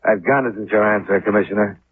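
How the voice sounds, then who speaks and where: A man's voice: fairly low pitch, fairly even intonation, brisk, breathy edge. An actor playing a shrewd, unflappable male investigator with clipped, dryly sardonic questioning in 1950s radio style. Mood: cool, accusing. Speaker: lead actor of a detective series. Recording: tinny, hissy 1950s radio recording.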